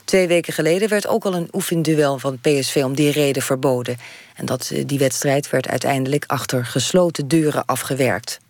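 A woman newsreader with a Netherlands Dutch accent delivers a scripted bulletin. Her voice is on the low side for a woman, formal and factual, in a very dry studio sound. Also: a little fast, darkish tone, dry recording. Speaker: radio newsreader.

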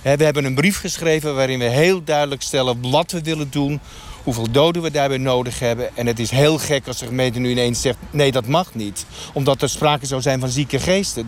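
A man playing an earnest satanic dentist in a mock radio interview, speaking in a halting, matter-of-fact way. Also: medium pitch, lightly acted. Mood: indignant, stubborn. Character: earnest satanic dentist of a sinister sect, male. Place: outdoors in a street. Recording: street noise, passing traffic.

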